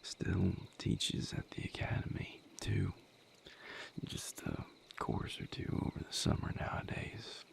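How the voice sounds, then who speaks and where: A weary, tender vampire voiced by a man murmurs to his mate in an intimate audio roleplay. His voice is low and half-whispered, crackling with fry. He takes his time, leaving long, thoughtful pauses between soft phrases. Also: little pitch movement, full character voice. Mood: fond, reflective. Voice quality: breathy, gravelly near-whisper. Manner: unhurried, halting, soft-spoken with long pauses.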